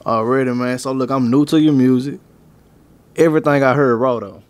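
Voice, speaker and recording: A male interviewer asks his guest questions in a loose, slangy style. His voice sits at a medium pitch, and he keeps the conversation casual. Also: a little fast, smooth. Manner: relaxed, slangy, repeats "man" and "you know what I'm saying".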